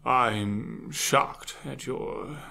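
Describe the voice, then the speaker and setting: Wise, wry butler, male actor, audio drama. Formal, deferential, very unhurried, creaky voice. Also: mid-range voice, bright, forceful tone, exaggerated voice. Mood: patient, wry.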